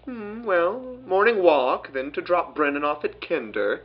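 A woman's voice: on the low side, animated, a little slow, breathy edge. A woman playing an entitled, oblivious customer in a comic audio drama, breezy and self-important over a phone. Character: entitled, oblivious retail customer, demanding parent. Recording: muffled lo-fi phone call.

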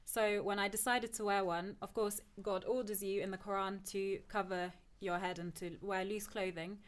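A woman's voice: medium pitch, fairly even intonation, normal speed. Reflective young woman from Turkey. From Turkey, moved to England at ten. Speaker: podcast guest, PhD student. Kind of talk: conversational personal discussion.

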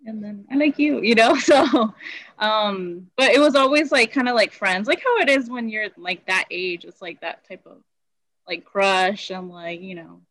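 A woman's voice: mid-range voice, moderate pace, a bit bright, no echo. Giggly rambling young woman co-host. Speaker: podcast co-host. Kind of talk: casual chat.

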